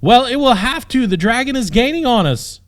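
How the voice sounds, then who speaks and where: A man voicing an exasperated, sharp-tongued young princess in a comic fantasy audiobook, incredulous and scolding. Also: animated, moderate pace. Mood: urgent. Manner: incredulous, scolding, shrill when alarmed.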